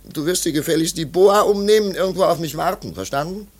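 An actor in a radio play, playing an irritable, domineering husband with a mocking, dismissive manner. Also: male voice, fairly high pitch, fast talker, a little mellow, lightly acted. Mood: irritated, mocking.

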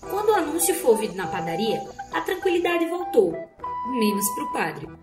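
A woman's voice: sits high, very animated, normal speed, roomy sound, over music. A woman narrating a scripted radio drama as a storyteller.

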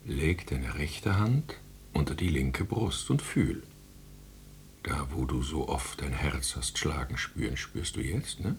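A deep, theatrical, wry Death. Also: male voice, expressive, slow, gravelly, a little hiss or hum, full character voice. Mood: commanding. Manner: theatrical, rambling, mock-solemn. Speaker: narrator. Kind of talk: radio drama narration with direct address.

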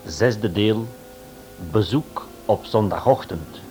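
Slow, formal male radio announcer. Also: lowish voice. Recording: announcement over loud title music. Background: title music.

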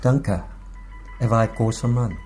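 A man's voice: medium pitch, measured pace, over music, natural voice. An actor playing a polite, composed male crime boss in a radio crime drama. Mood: solemn. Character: smooth, formal male new crime boss.

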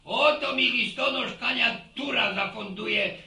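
Radio drama casting: mischievous rustic old man, slow, very high and sharp, roomy sound. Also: far from the mic, full character voice. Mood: teasing. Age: older. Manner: stammering repetitions, folksy sayings. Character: garrulous, mischievous old village grandfather. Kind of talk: Polish radio drama acting.

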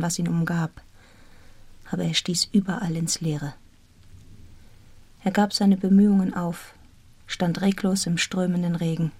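A woman's voice: lowish voice, darkish tone, slight background noise, natural voice. An actress playing a devoted, independent young Englishwoman in a German radio play, speaking and narrating with literary, composed earnestness. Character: devoted, independent young Englishwoman telling her own story. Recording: studio radio play with music.

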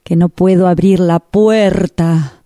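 A woman's voice: on the low side, steady tone, takes it slowly, mellow, no echo. A woman acting an anxious, needy, slightly touchy woman in an amateur radio drama, pleading.

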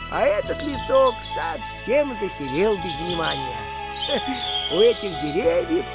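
A performer voicing a grumpy but kindly old male forest spirit in a musical audio play, drawling and sighing with many exclamations. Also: mid-range voice.

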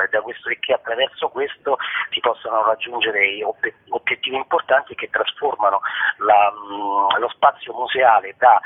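Male interview guest, formal and wordy, slightly rough mid-range voice over a narrow telephone line. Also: fairly low pitch. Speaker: cultural curator, interview guest. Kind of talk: explanatory radio interview answers.

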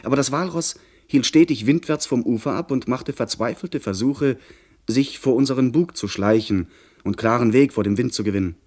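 An actor playing a young gentleman who narrates his sea adventure in a literary radio play style. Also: medium pitch, normal speed, natural voice. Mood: narrating.

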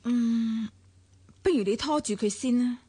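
Thoughtful, cautious Hong Kong Cantonese confidante for radio drama, higher voice, closely and drily recorded. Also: female voice, a little slow, mellow, husky, dry recording. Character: level-headed, analytical, cautious woman adviser.

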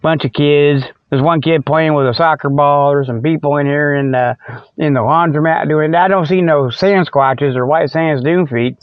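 A man's voice: on the high side, normal speed, clean tone, dry recording. A middle-aged American man hosting a podcast, delivering a folksy, colloquial, rambling comedic monologue as casual chat. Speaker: podcast host. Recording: slightly dull lo-fi, dry sound.

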